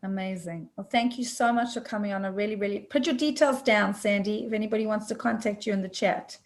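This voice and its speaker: A woman hosting a casual online interview, friendly and quick to laugh. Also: medium pitch. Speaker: host.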